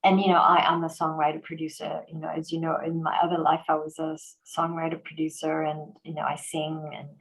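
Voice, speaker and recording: A woman host in a relaxed conversation, telling stories animatedly with quick fillers and restarts. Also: deep voice, vocal fry, poor audio. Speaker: organization president and host. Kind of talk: conversational interview.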